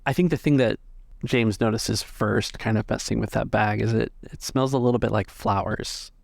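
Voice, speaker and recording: A man's voice: on the low side, normal speed, creaky, no echo. A man narrating scenes as game master of a tabletop roleplaying game. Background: music.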